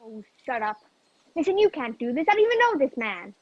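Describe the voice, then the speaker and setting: A woman's voice: high-pitched, big pitch swings, fairly quick, dry recording. A woman playing Pamela, a haughty, stubborn young woman who protests indignantly in an old-fashioned radio play, muffled over a video call.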